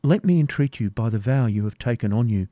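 A man voicing a gentle young woman prisoner who pleads softly for help. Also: medium pitch, expressive, a little fast, no echo, dull audio, lightly acted. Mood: pleading. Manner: soft, pleading.

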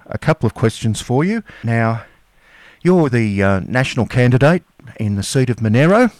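A man's voice: medium pitch, sing-song, moderate pace, a little mellow. An Australian man hosting a local radio interview, talking casually with some hesitation. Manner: casual, hesitant, friendly chat. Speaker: radio host.

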